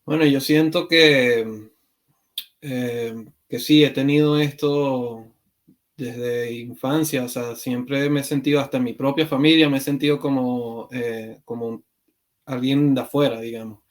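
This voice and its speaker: Man, from Venezuela, podcast guest, hesitant, frequent fillers, mid pitch. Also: steady tone, unhurried. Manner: hesitant, many fillers and restarts. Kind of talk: conversational interview.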